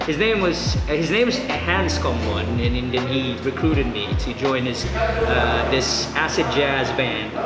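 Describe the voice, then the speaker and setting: A Filipino man in his thirties with a Filipino accent, a guest singer-songwriter talking modestly and hesitantly in a casual interview. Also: medium pitch. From Philippines. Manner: modest, hesitant, many fillers. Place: deli room. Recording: echoey room with loud music. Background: loud music.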